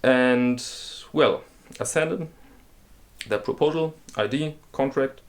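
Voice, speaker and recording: A man with a German accent, hosting a talk video and explaining a scam in a halting way, with many restarts and ums. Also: medium pitch, slight background noise. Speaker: host. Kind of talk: casual explainer monologue.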